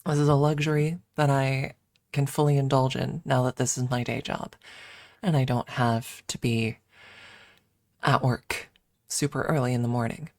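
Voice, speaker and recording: A woman streamer chatting casually in a soft, hesitant ASMR style with playful self-deprecation, close to the microphone. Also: very deep voice, flat delivery, normal speed, no echo. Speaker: ASMR livestreamer. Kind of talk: ASMR, casual rambling chat.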